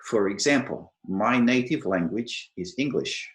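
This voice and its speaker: A middle-aged Australian man giving an advice talk to an online meetup, speaking slowly and clearly with dry humour. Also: medium pitch, expressive, roomy sound. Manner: slow, deliberate, clear delivery for non-native listeners.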